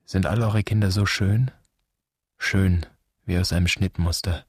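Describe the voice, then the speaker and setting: An actor playing a brooding, wounded grown son in a poetic radio play, speaking in a measured, solemn, introspective way. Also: male voice, low voice, steady tone, slightly rough, dry recording, clean studio sound. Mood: brooding, accusing. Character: brooding, wounded grown son addressing his father's generation.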